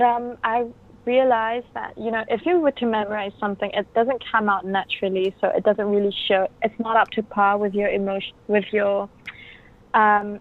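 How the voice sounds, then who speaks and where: A young woman from Brunei with a Bruneian accent, answering interview questions in a soft-spoken, hesitant way with many ums. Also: medium pitch. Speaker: actress guest. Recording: tinny phone-like line.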